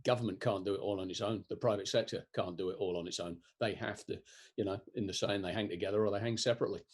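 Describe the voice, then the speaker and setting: A European man answering interview questions in a thoughtful, explanatory way, pausing often with ums and restarts. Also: on the low side, fairly even intonation, slightly rough. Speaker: guest, disaster resilience expert.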